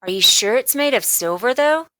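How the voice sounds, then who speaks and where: A woman's voice: on the high side, animated, moderate pace, sharp tone, no room sound, voice pushed up. A woman voicing a young schoolboy in a storybook, reasonable, self-assured and matter-of-fact. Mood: doubtful.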